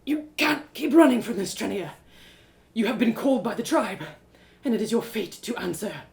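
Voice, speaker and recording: A woman voicing a stern, scolding male elf warrior with a pompous, drawn-out delivery in a fantasy story. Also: fairly high pitch, animated, gravelly, darkish tone. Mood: scornful.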